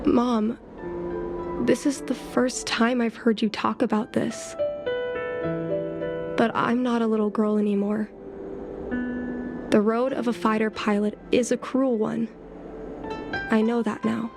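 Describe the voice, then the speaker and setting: Earnest young woman, lightly high-pitched. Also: level tone, takes it slowly, creaky, long pauses. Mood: earnest, resolute. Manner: earnest, determined. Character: resolute grown daughter, young woman, aspiring fighter pilot. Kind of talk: dramatic game voice acting. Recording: music behind the voice.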